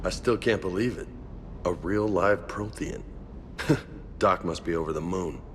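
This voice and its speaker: A blunt, friendly soldier, with a deep voice and slangy, joking delivery. It is video game dialogue in a reverberant space with a faint rumble behind. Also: male voice, unhurried. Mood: amazed, amused. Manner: casual, slangy.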